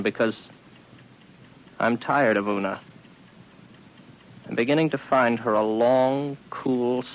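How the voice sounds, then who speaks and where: An actor playing a lonely, weary young man on a space station, wry and musing, in the style of 1950s radio drama. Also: medium pitch. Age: 20s. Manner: wry, musing monologue addressed to listeners. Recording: dull, noisy vintage radio recording.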